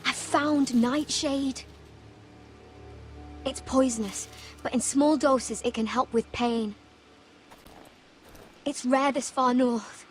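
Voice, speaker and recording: A proud, stubborn young herbalist who speaks slowly and calmly in a high voice. Faint game music sits under her lines. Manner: calm, measured, knowing. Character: proud, stubborn young woman skilled with herbs. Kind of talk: video game cutscene acting. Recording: faint game score behind.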